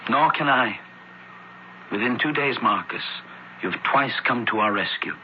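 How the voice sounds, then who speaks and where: A man's voice: medium pitch, expressive, a little slow, lightly acted. Devout, concerned young Roman, male actor, formal earnest speech, muffled radio play with faint noise. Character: devout, worried young Roman brother studying priesthood. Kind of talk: radio drama acting.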